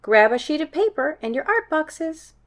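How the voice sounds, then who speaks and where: Woman putting on a high, cheerful schoolteacher. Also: sing-song, moderate pace. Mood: cheerful, instructive. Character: cheerful woman schoolteacher addressing young class.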